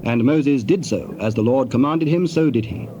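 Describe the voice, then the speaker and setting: A man's voice: medium pitch, normal speed, background noise, close to an everyday voice. A man narrating dramatised King James scripture in a solemn storytelling manner. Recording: slightly muffled cassette tape.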